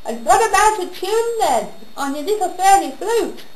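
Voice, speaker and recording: Woman acting a rugby lout, mocking heckler, shouted, raised pitch, comic stage monologue, echoey room. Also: expressive, normal speed. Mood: mocking jeer. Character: brutish jeering male rugby player heckling from audience. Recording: roomy echo with background noise.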